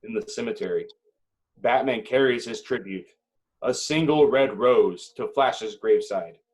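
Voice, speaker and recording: A man narrates screenplay directions in a mid-pitched voice. He reads slowly and dramatically, setting each phrase apart with deliberate little pauses. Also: a little mellow, poor audio. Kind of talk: dramatic scripted narration at an amateur table read.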